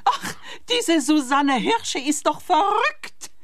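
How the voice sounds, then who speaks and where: Scornful, high-voiced mother. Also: very animated, slow pace, fairly bright tone, no room sound, clean studio sound, soft background music, heavily acted. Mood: scornful disgust. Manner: scoffing, emphatic, indignant. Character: scornful, dismissive mother of a grown son. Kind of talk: comic radio play acting.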